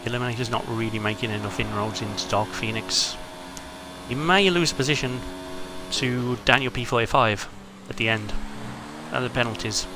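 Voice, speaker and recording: A man's voice: mid-range voice, fairly even intonation, moderate pace, noisy background. A man giving live, chatty commentary on an online racing league, joking about the drivers and reading out chat messages. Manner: chatty, wry, reads chat aloud.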